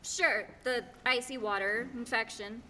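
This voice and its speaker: A woman's voice: on the high side, steady tone, measured pace, sharp tone. A woman playing a curious, sympathetic listener in a stage radio play. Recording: reverberant hall stage recording.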